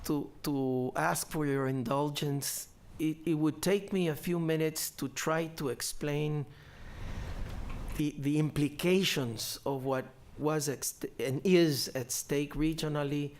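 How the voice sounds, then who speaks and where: An older man from Central America answering audience questions on a panel in a measured, deliberate way, often restarting his phrases. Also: fairly high pitch, steady tone, a little hiss or hum. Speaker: panelist, former vice president.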